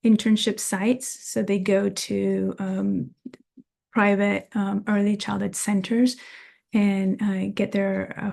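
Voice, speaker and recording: A woman's voice: medium pitch, creaky, a little mellow. A woman from Venezuela, an associate professor, introducing herself at a video meeting with frequent hesitant filler sounds. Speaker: associate professor of early childhood education. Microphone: video call.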